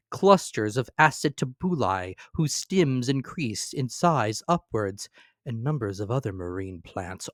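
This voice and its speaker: A man's voice: mid-range voice, dry recording, clean studio sound. A man narrating a classic story as a dramatic reading, with theatrical, emphatic phrasing. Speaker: audiobook narrator.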